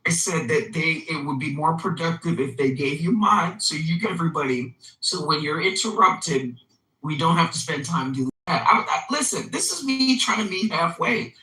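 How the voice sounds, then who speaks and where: A man's voice: on the high side, animated, moderate pace, pushed and bright, echoey room, recorded from a distance, poor audio. A man in a casual argument with the host, talking defensively and pushily.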